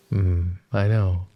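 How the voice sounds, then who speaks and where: A man acts out a lovestruck mafia boss in an intimate roleplay ASMR. His voice is very deep and dark, with heavy vocal fry. He speaks in a tender, hesitant, teasing murmur, possessive but self-deprecating. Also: a little hiss or hum, exaggerated voice.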